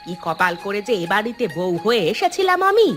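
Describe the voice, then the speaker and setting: Woman acting a cartoon village wife, high voice, very animated swoops, sharp-tongued, self-pitying, theatrical scolding. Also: fairly quick, soft background music. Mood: aggrieved scolding. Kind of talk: Bengali fairy-tale cartoon dubbing.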